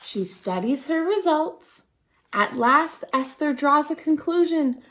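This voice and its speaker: A woman with a high-pitched voice reads a picture book aloud for children. Her pitch swings widely and she takes her time, stretching key words for theatrical emphasis. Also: lively intonation, takes it slowly, fairly bright tone. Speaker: storytime reader at a dance centre.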